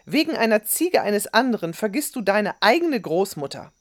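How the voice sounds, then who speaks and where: A stern old grandmother, voiced by a woman narrator, raised in pitch above the storyteller's plain voice. She scolds sharply and forcefully, quick to anger, in a storybook style. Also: big pitch swings, no room sound, clean studio sound, close to an everyday voice. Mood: annoyed, scolding. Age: older.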